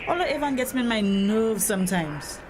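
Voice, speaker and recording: A woman acts out a troubled grown daughter in a drama, with a fairly high voice. She is earnest, confiding and hurt, as if she feels trapped. The sound is a noisy location recording with clear background ambience. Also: animated, brisk, natural voice. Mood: frustrated. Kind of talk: dramatic acted dialogue.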